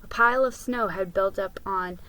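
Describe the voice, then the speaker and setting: A woman doing a dramatic reading of a children's book, in a deliberate way. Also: medium pitch, a bit bright, a little hiss or hum, rough recording. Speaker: vlogger reading books aloud.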